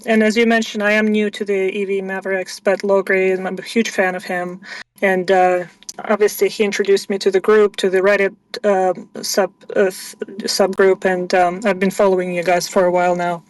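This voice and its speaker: A woman in her thirties, born in Russia, telling her story as a guest on an interview stream in a measured way with frequent ums. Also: medium pitch, little pitch movement, distant mic, poor audio. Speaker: guest, venture investor. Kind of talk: casual interview chat.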